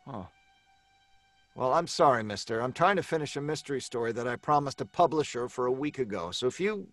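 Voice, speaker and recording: A man's voice: medium pitch, animated, brisk, a bit bright, no room sound, faint music behind, natural voice. An actor playing an irritable, frazzled male writer in an old time radio drama, blustering and stammering with exasperation. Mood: wary, uneasy.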